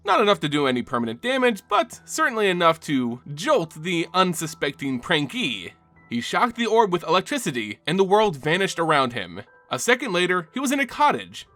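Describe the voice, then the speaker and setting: A man's voice: on the high side, bright, forceful tone. A man giving a dramatic scripted narration of a fantasy story in a theatrical storytelling manner. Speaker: narrator of a lore channel. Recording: music bed under the voice. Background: background music.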